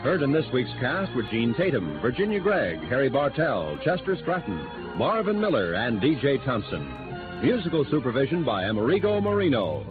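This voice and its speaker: Animated male vintage radio announcer over music. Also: medium pitch, moderate pace, narrow, tinny audio. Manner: formal, polished, projected. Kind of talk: 1950s radio announcing, scripted credits. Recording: band-limited old radio broadcast over music.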